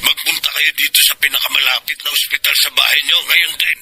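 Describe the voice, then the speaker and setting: A man's voice: on the high side, flat delivery, quick pace, sharp tone. A man playing a stern, grave old grandfather in a radio drama, reproachful, with a Filipino accent. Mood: stern urgency.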